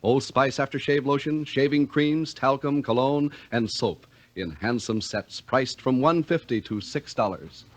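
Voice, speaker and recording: A man's voice: mid-range voice, measured pace, hardly any pauses. A man with an American accent announcing on 1950s radio in a polished, scripted sales delivery. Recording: vintage radio recording.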